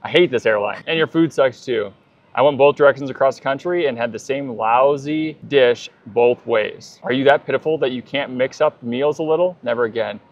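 A man's voice: mid-range voice, moderate pace, muffled recording. A man in his thirties co-hosting a travel vlog, chatting casually and reading reviews aloud, on a lapel mic in a big airport terminal. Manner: easygoing, chatty. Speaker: travel vlogger co-host. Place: large airport terminal, indoors. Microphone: clip-on lapel mic.

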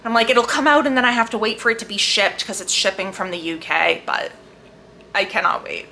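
A woman book vlogger chatting casually and enthusiastically to camera, leaning hard on emphatic, drawn-out words. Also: medium pitch, fairly even intonation, gravelly, pushed and bright, slight background noise. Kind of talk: casual chat to camera about books.

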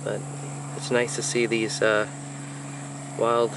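A man's voice: lowish voice, completely flat delivery, slow pace, gravelly. A man filming himself on a swamp hike, chatting casually about the plants and wildlife he passes, with frequent ums and uhs. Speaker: hiker filming his walk. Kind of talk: casual narrated hike vlog. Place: outdoors in a swampy Florida wetland. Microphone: handheld or body camera, unseen speaker. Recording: outdoor camera audio with nature noise. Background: insects and crickets in open nature.

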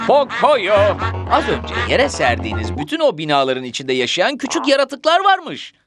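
An excitable cartoon narrator for small children. His pitch swoops widely as he addresses the young viewers with care and exclamation. Loud music plays behind him. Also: moderate pace, exaggerated voice. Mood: alarmed, urgent. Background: background music.

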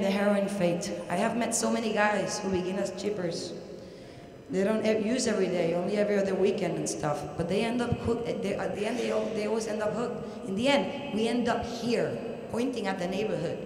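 A middle-aged woman with a Puerto Rican accent giving an academic lecture at a podium, halting but emphatic. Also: mid-range voice, darkish tone. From Puerto Rico. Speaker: researcher presenting at an academic conference. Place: large indoor university hall, at a podium. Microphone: podium microphone. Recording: very echoey hall, audible background noise.

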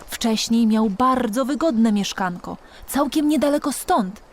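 A woman's voice: a little high, lively intonation, measured pace. A woman reading a children's storybook as an audiobook narrator, expressively and with dramatic emphasis.

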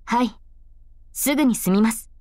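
A woman voicing an earnest young female knight in a visual novel, speaking politely and formally with hesitant pauses. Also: fairly high pitch, a little mellow, no room sound, clean studio sound, natural voice. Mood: shy, pleading.

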